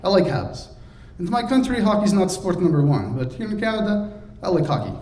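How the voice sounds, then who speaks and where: A man voicing a loud, proud host who speaks blunt, broken English in short exclamations, in an audiobook recorded in a roomy space from a distance. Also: high-pitched, big pitch swings, a little fast, voice pushed up. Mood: friendly. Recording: roomy, distant, faint hiss.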